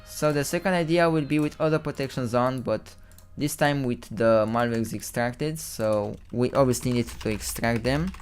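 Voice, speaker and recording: A man's voice: mid-range voice, normal speed, smooth, faint music behind. A young man from Romania with a Romanian accent, whose first language is Romanian, hosting a casual live stream with rambling, self-correcting commentary and some stumbles over words.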